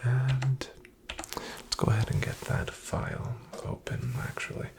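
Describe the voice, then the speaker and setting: A man playing a polite, reassuring financial advisor in an ASMR roleplay, in a breathy half-whisper with gravelly fry and a slow, soothing, formal delivery. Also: medium pitch, flat delivery, lightly acted. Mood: calm, businesslike. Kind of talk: ASMR, soft-spoken roleplay.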